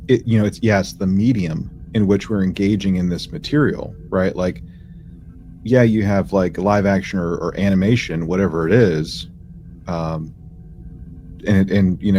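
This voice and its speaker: Lower-voiced man hosting a casual podcast. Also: a little mellow. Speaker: podcast host.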